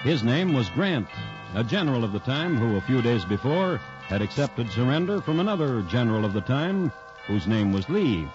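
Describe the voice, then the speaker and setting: A man hosting and narrating a 1950s true-crime radio show in a formal, measured way with dramatic pauses. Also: mid-range voice, big pitch swings, music in the background. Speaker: host and narrator. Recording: muffled 1950s radio broadcast.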